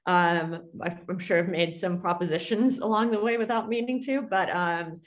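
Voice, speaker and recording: A woman's voice: fairly low pitch, normal speed. A woman chatting on a video call in a casual, self-deprecating way.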